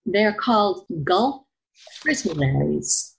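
A woman's voice: fairly low pitch, big pitch swings, slow pace, vocal fry, full character voice, rough recording. A woman playing a prickly, self-deprecating, suspicious woman being courted in a romantic comedy audio play, tart, pedantic and melodramatic. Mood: softening.

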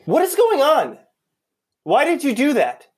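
An impatient male undercover cop in a mystery audio play, acted by a man. He is blunt and demanding, with an exasperated edge. His pitch moves up and down in a very animated way. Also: a little slow, full character voice. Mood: alarmed, angry. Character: impatient, foul-mouthed male undercover cop posing as buyer.

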